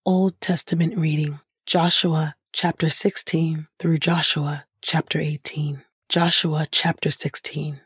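A woman co-hosting a podcast, reading scripture aloud in a measured, deliberate way. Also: deep voice, level tone, fairly bright tone, slightly rough, dry recording, clean studio sound. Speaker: scripture reader and podcast co-host.

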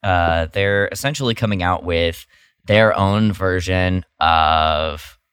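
A man's voice: low-pitched, slow, fairly bright tone. A man co-hosting a podcast, chatting informally and enthusiastically as he shares fandom news. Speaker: podcast co-host. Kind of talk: casual chat, sharing fandom news.

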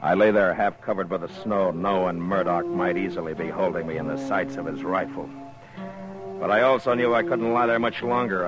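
An American actor playing a stern, plainspoken US Marshal in a 1950s radio western, speaking in a terse, grave, deliberate way. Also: male voice, low voice, close to an everyday voice. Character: stern, steady frontier US Marshal, weary and plainspoken. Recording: muffled old radio recording, music behind.

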